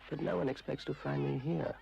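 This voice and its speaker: An actor playing a malevolent water spirit, sinister and patient, narrating a 1970s public information film in a slow, ominous way. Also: male voice, fairly even intonation, warm tone, highs cut off. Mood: menacing. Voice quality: low gravelly voice. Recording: noisy old 1970s film soundtrack.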